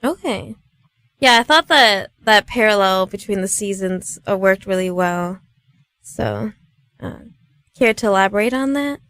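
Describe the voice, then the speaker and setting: Casting: female interviewer, agreeable and conversational, mid-pitched voice recorded very dry. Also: a little slow, a bit bright, dry recording. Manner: agreeable, frequent affirmations. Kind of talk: casual interview.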